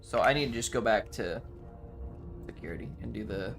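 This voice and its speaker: A man's voice: on the low side, fairly quick, vocal fry, faint music behind. A man giving casual live game commentary and chatting with viewers in a playful, dramatic, sweary way. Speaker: livestreamer. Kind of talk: casual game stream commentary, reacting to chat.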